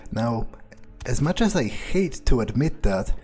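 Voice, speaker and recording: A man's voice: medium pitch, sing-song, measured pace, darkish tone. A man voicing a scheming, condescending vampire in an improvised game playthrough, thinking aloud with hesitations. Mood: grudging, calculating. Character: scheming, condescending male vampire, reluctant servant of a prince.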